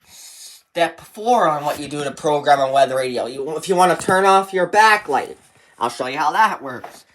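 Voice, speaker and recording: A man's voice: on the high side, lively intonation, moderate pace, fairly bright tone. A man giving informal step-by-step instructions in a plain, repetitive explaining manner. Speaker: tutorial presenter. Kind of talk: informal step-by-step how-to narration.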